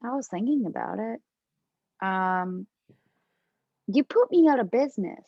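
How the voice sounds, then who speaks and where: Casting: flustered, wounded romcom heroine with a cold played by a woman, highish voice, halting and unhurried. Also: lively intonation, slightly rough, exaggerated voice. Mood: wary, defensive. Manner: halting, deliberate, with hesitant restarts. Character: flustered, wounded woman sick with a cold, romantic comedy lead. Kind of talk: amateur script read-through of a romantic comedy. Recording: dull, roomy home recording.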